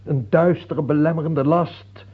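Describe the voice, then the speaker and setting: An actor in a radio play playing a stern, devout priest, solemn and exhorting. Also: male voice, a little high, steady tone, measured pace. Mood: fervent. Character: stern devout male priest counselling a dying king. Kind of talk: Dutch radio play acting. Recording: muffled, tinny old radio recording.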